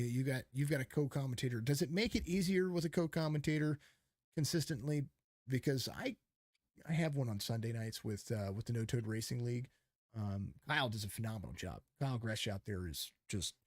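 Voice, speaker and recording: A man's voice: medium pitch, a little mellow, no echo. A man hosting a casual podcast who talks about calling races. He rambles emphatically, with many restarts and fillers, into a close microphone. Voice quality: calls his voice fit for radio. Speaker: podcast host and race commentator.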